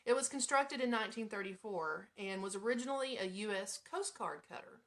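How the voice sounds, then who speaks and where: A woman's voice: mid-range voice, a little fast. A woman with an American accent and a mid-range voice hosts a casual live radio show. Her delivery is informal and chatty, slipping easily between reading items out and speaking straight to her listeners. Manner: informal, chatty, breaks into giggles and asides.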